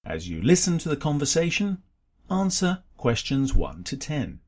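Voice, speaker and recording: Husky formal male exam announcer, swooping intonation. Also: on the high side, big pitch swings, normal speed, darkish tone. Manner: formal, instructional. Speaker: test announcer. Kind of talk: scripted exam narration.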